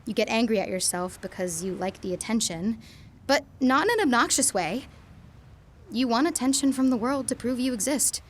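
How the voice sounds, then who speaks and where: A woman's voice: a little high, moderate pace, a little hiss or hum, lightly acted. A young woman playing an emotional teenage girl speaking an intimate monologue to her boyfriend in a radio drama. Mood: tender. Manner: intimate monologue, swings from quiet to pleading.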